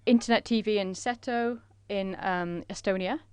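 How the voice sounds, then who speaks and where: A woman renders a conference presentation in a measured way, pausing often on fillers. Her voice is smooth and clear, recorded close and dry. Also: medium pitch, darkish tone, no room sound. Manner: measured, with frequent filler pauses.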